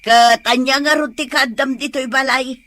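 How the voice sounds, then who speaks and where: A woman's voice: high-pitched, fairly even intonation, normal speed, a bit bright, no echo. A woman playing an older grandmother fond of drink and dancing, who talks in a teasing drawl in an Ilocano comedy-drama. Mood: grumbling.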